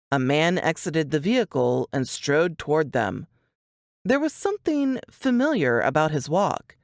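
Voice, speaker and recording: A woman's voice: low voice, dry recording, studio quality. A woman reading a scripted suspense novel as an audiobook narrator.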